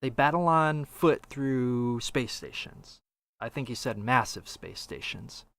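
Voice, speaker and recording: A man's voice: mid-range voice, slow pace, fairly bright tone. A man hosting a podcast, chatting casually and offering commentary into a streaming microphone. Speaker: podcast host.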